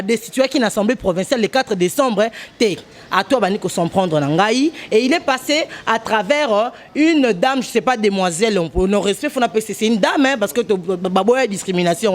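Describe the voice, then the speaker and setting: Congolese woman journalist, interview guest, forceful and halting, mixes French with Lingala. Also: medium pitch. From DR Congo, Kongo Central. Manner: emphatic, hesitant, switches between French and Lingala.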